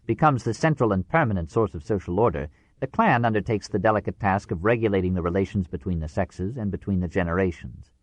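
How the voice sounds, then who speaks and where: A man narrating a nonfiction audiobook in a measured, formal way with precise phrasing. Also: low voice, dry recording.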